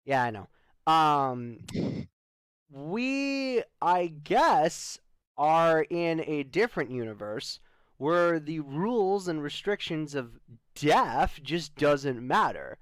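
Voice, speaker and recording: A man's voice: on the high side, big pitch swings, slow pace, clear, resonant tone, no echo. A man playing a wry spaceship adventurer in loose, improvised sci-fi roleplay, joking and stretching out his lead-ins. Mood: wry, explaining.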